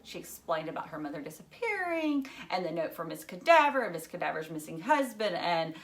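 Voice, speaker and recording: A woman narrating a children's novel aloud in an expressive read-aloud style. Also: on the low side, fairly quick.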